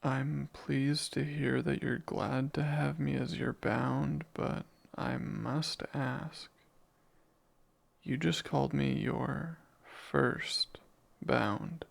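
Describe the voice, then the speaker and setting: Man playing a very gravelly, devoted demon. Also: mid-range voice, fairly even intonation, heavily acted. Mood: tender, content. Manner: slow, formal, deliberate phrasing. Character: devoted, jealous, menacing male demon bound to a master. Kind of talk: ASMR romance roleplay.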